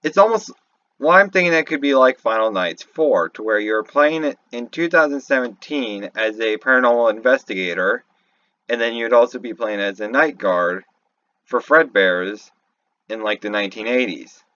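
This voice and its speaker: A man giving casual, off-the-cuff game commentary, halting and thinking aloud as he goes. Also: mid-range voice, animated, sharp tone, dull audio. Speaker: YouTube commentator.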